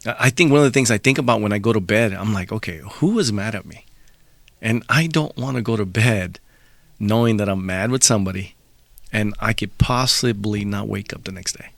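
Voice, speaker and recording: A man's voice: on the low side, brisk, gravelly, dry recording. A middle-aged man from El Salvador, a podcast guest telling personal stories in an easygoing, reflective way. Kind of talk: casual podcast conversation.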